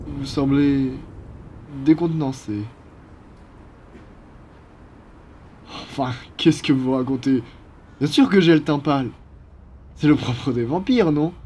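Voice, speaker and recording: Man acting as a polite vampire gentleman, dark mellow timbre, husky, formal, reassuring, ASMR roleplay. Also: mid-range voice. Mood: brisk, polite. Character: courteous, apologetic male vampire from a blood-donation foundation.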